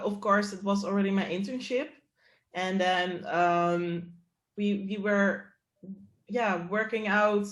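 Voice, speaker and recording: A woman from the Netherlands, a company co-founder, talking as a guest in a casual interview and telling stories with laughter. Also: mid-range voice. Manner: laughing, lively storytelling. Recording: roomy echo.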